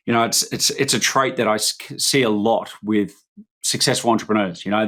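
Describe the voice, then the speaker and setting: A man's voice: on the low side, a bit bright. A man hosting a business podcast, asking long, rambling questions full of ums and restarts. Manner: hesitant, rambling questions with many fillers. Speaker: podcast host. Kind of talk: conversational podcast interview.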